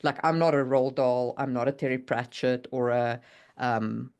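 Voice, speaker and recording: A woman co-hosting a podcast, chatting casually about writing in an animated way and often checking for agreement. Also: rumbling low, normal speed, smooth. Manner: animated, seeks agreement with "you know what I mean". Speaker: podcast co-host and writer.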